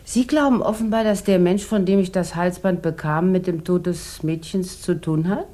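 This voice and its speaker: A woman's voice: fairly low pitch, steady tone, normal speed, mellow, lightly acted. A woman playing a bored, boozy rich housewife in a 1960s German radio drama, theatrical and sardonic, drawing out her rambling remarks. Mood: probing, wary.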